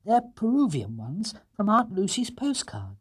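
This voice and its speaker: An earnest young storybook bear, acted out by a man, speaks in a raised, modest voice. He is polite and childlike, always a little apologetic, with a lively lilt. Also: sits high, unhurried, breathy edge, pitched above the performer's own voice, heavily acted.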